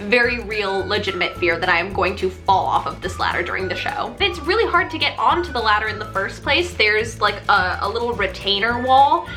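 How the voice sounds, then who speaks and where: A young adult woman telling stories in a casual vlog, chatty, animated and self-deprecating. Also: medium pitch, a little fast, hardly any pauses, music in the background. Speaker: vlogger and stage actress.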